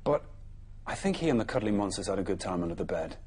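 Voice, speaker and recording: English guy reading a bedtime story to kids, really animated, kinda husky and breathy. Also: quick pace. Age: 30s. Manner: dramatic, often dropping soft and low. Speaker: children's bedtime story presenter. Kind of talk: expressive storytelling for young children. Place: television studio.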